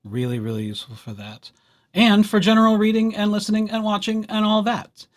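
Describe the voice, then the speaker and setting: A man presenting a casual software tutorial as a library host, with playful, wry asides. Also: sits high, fairly quick. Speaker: library presenter hosting a digital services tutorial.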